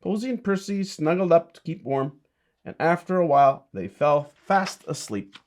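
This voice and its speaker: A man's voice: fairly high pitch, expressive, moderate pace. A man, a bishop, reading a children's storybook and chatting to kids with simple, encouraging asides.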